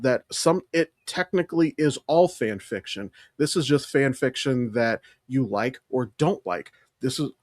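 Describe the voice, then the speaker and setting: A man's voice: on the high side, no room sound, clean studio sound. A man co-hosting a podcast, chatting casually and emphatically with opinions and plenty of swearing. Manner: animated, emphatic, freely profane. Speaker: podcast co-host. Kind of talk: casual opinionated pop-culture chat.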